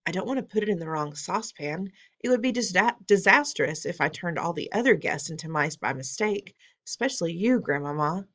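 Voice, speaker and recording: A woman's voice: lowish voice, lively intonation, a little fast, few pauses, fairly bright tone. A woman reading aloud, voicing a clever young boy who has been turned into a mouse, eager and reasoning.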